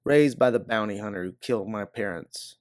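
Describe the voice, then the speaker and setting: A man's voice: on the low side, fairly quick, dry recording, clean studio sound, lightly acted. A man voicing a guarded, hard-bitten female bounty hunter in a science fiction audiobook. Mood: bitter, matter-of-fact. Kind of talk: audiobook character dialogue.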